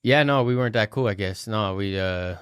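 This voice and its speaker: A young man co-hosting a podcast, chatting casually and joking, with lots of fillers, his pitch jumping up high when he quotes people. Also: brisk, clear, resonant tone, dry recording, studio quality. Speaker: podcast co-host. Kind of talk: casual chat.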